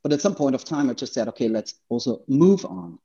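A man from Austria with an Austrian accent and native German, telling his story as an interview guest in a halting, conversational way, often repeating words. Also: medium pitch, expressive, warm tone, slightly rough, muffled recording.

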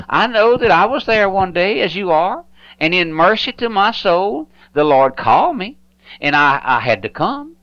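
A man's voice: on the high side, fairly bright tone, dry recording, muffled recording. A man pastor preaching an earnest, pleading radio sermon, often repeating himself and stumbling over words.

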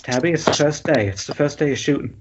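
A man's voice: fairly high pitch, fast talker, close to an everyday voice. Man playing a self-important film director, muffled. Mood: nervous. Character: nervous, self-important male writer directing first film. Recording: muffled, noisy internet call.

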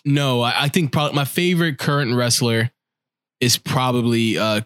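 A man's voice: mid-range voice, steady tone, normal speed, a bit bright, clean tone. A man co-hosting a podcast about wrestling, swearing freely and gushing about his favorite wrestlers in loose, laughing casual chat. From United States. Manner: profane, enthusiastic, laughing often. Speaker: podcast co-host.

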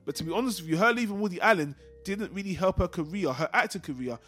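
A high-pitched male podcast host talks quickly and casually about films, with music underneath. He rambles and digresses freely, sharing his opinions as he goes. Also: lively intonation, a bit bright. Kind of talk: casual film discussion podcast.

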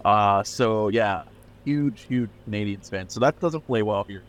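Deep-voiced pennsylvania guy rambling about his label, lots of likes and restarts. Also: unhurried. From Pennsylvania, USA. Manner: rambling, many "like" fillers and restarts. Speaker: record label owner, guest. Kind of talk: casual podcast interview.

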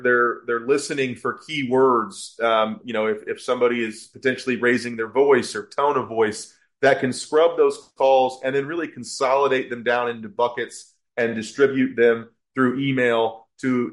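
Male podcast guest, business owner. Mid-pitched, conversational explainer, halting with repeated words. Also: fairly bright tone.

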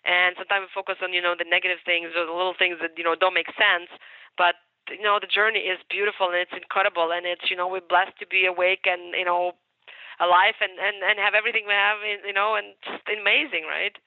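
A woman's voice: lowish voice, level tone, fairly quick, no room sound. A woman with a Ukrainian accent, a mortgage broker chatting as a guest in an interview, upbeat and talkative, repeating words for emphasis. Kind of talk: casual podcast interview. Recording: tinny phone line, dry.

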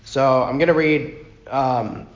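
Hoarse man teaching softly. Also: medium pitch, slow pace. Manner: rambling, self-correcting, talks softly. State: hoarse, lost his voice. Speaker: pastor teaching a class. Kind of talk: informal church class teaching. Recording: muffled, echoey room with faint noise.

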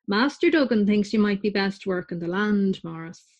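A woman's voice: mid-range voice, animated, moderate pace, lo-fi sound. A woman voicing a stern farming father who states his decisions plainly. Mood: matter-of-fact. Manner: matter-of-fact and decisive.

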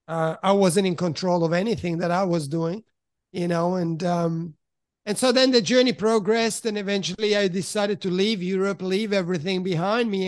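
A middle-aged man from Italy who grew up in Switzerland, with a high voice, recounting his past in a frank, reflective way. He pauses to search for the right words as he talks. The sound is dry and close, with no room echo. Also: smooth. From Italy, raised in Switzerland. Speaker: podcast guest. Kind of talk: candid personal storytelling in conversation.

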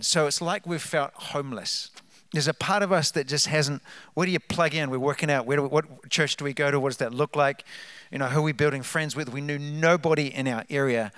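A man with a New Zealand accent shares testimonies from a church stage, with a slightly high voice and a dry, close sound. He talks in an animated way, stirring up the congregation and wandering off into asides. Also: clear, resonant tone, dry recording. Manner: animated, hypes up the crowd, trails off into asides. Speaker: guest speaker. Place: church sanctuary.

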